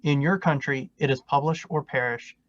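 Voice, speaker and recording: A man reading a martyr's first-person words aloud in a steady, earnest way. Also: on the high side, normal speed, highs cut off.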